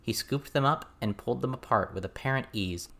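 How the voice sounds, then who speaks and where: A man's voice: medium pitch, a little fast, a bit bright. A man reading a scripted story as an audiobook narrator.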